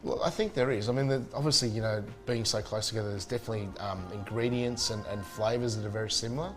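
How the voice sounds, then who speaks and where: A man's voice: lowish voice, steady tone, quick pace, faint music behind. A man, a chef chatting casually and enthusiastically in an interview, with many fillers and restarts. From Spain.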